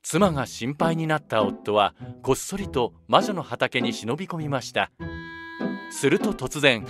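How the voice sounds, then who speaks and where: A man's voice: mid-range voice, expressive, a little slow, over music. A man narrating a children's fairy tale from a script, as the storybook narrator.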